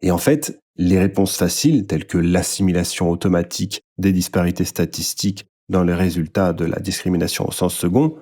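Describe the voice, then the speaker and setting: A man reading a nonfiction book aloud as scripted narration, in a measured, formal way. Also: on the low side, dark tone. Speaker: audiobook-style reader.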